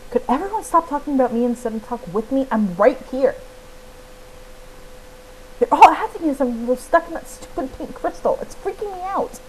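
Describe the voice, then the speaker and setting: A young heroine from a fantasy riding game acted by a woman, earnest and defensive. She speaks plainly and with feeling, and there is clear noise on the recording. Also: high-pitched, lively intonation, normal speed, voice pushed up. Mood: exasperated.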